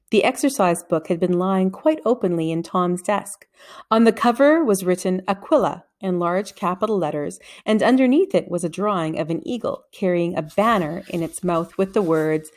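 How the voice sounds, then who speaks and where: A woman's voice: fairly low pitch, a little mellow. Woman reading a children's novel aloud. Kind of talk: scripted story narration, read-aloud.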